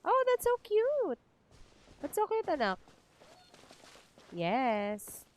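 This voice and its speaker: A woman's voice: high-pitched, wildly swooping pitch, slow. A woman with a Filipino accent giving casual livestream commentary while she plays a video game. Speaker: game streamer. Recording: game music behind the voice.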